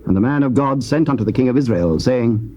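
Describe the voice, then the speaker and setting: A man narrating scripture in a measured, stately reading. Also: mid-range voice, lively intonation, warm tone, lo-fi sound. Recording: faint tape hiss.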